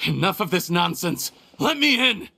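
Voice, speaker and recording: A man's voice: high voice, sing-song, normal speed, vocal fry, very noisy, full character voice. A man playing a bitter, desperate criminal scorned by the world, monologuing theatrically in a video game. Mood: desperate anger.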